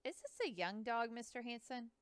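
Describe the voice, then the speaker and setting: A woman's voice: on the high side, moderate pace, clean tone, dry recording, pitched above the performer's own voice, natural voice. A woman reading an earnest young explorer. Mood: polite. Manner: earnest, courteous, speaks in a rush. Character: earnest young male explorer, eager and polite.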